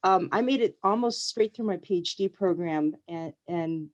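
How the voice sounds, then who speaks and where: A woman's voice: on the low side, moderate pace, muffled recording. A woman professor speaking on a panel over a video call, friendly and reflective with some fillers. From United States. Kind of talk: panel discussion.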